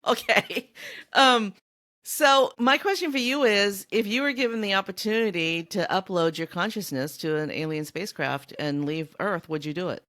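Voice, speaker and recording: A woman co-hosting a podcast, chatting casually and in an animated way about a book. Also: mid-range voice, moderate pace. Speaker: podcast co-host.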